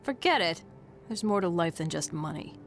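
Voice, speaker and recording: A woman's voice: lowish voice, animated, moderate pace, soft background music. A woman voicing a tough, cynical adventurer in a video game, wry and blunt. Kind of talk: video game cutscene acting.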